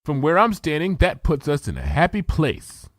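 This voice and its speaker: A man's voice: on the high side, huge pitch swings, normal speed, dry recording, studio quality, voice pushed up. A man voicing an earnest, bookish young female unicorn who talks eagerly for a storybook reading.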